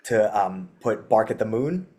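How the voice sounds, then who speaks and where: A man's voice: lowish voice, very animated, moderate pace, warm tone, slight background noise. A man, a metal band frontman, chatting as a podcast guest, rambling and laughing, with many filler words. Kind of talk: casual interview chat.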